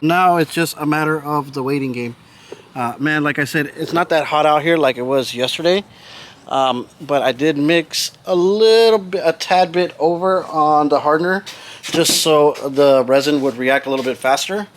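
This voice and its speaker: A man's voice: on the high side, moderate pace. A man hosting a casual hands-on DIY vlog outdoors, talking to viewers in an informal, slangy, repetitive way. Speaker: host of a DIY car audio video channel. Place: backyard, outdoors. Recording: noisy outdoor recording, vehicle and ambient sounds.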